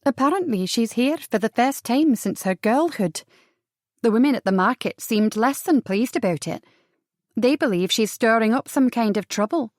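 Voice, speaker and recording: A woman playing a gentleman's lively, curious sister in an audiobook, animated and teasing in manner. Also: a little high, moderate pace, no echo, clean studio sound, lightly acted. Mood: curious, gossipy. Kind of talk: audiobook character dialogue.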